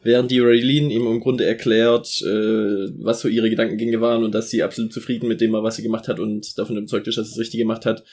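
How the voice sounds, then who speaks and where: A man's voice: lowish voice, flat delivery, quick pace, few pauses, a little mellow, husky. A man co-hosting a podcast, recapping a series episode in a rambling way with many fillers. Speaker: podcast co-host summarizing an episode.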